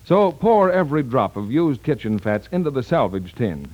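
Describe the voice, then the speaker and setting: A man's voice: mid-range voice, sing-song, normal speed, darkish tone, dull audio. An American man reading scripted announcements as a 1940s radio announcer. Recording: band-limited 1940s radio broadcast recording.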